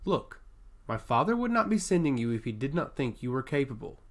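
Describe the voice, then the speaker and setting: A man's voice: medium pitch, very animated, fairly quick. Man as a teasing prince, self-assured, wry banter, swooping intonation, fantasy audiobook. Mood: encouraging.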